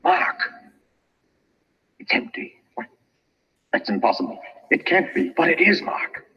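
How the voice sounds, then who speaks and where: An actor playing an anxious, bewildered and defensive man in an old-time radio mystery, earnest and halting. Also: a little high, very animated. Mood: shocked, puzzled. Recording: muffled, reverberant old radio recording.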